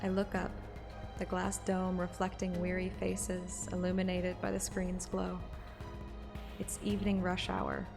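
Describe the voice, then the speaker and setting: A woman narrating a scripted present-tense story in a measured, descriptive way. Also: fairly low pitch, completely flat delivery, vocal fry. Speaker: audiobook narrator and streamer. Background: music.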